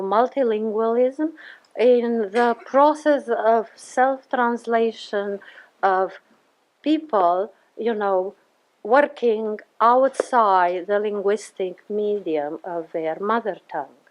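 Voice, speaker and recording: A woman's voice: medium pitch, darkish tone, rough recording. A woman, a theater scholar presenting a paper in a careful, formal way with frequent hesitations. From Romania. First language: Romanian. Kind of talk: conference talk.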